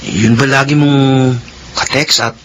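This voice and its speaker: An actor playing a nosy young Filipino brother who keeps probing, in a radio drama. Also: male voice, medium pitch, fairly even intonation, measured pace, fairly bright tone, a little hiss or hum, lightly acted. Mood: suspicious.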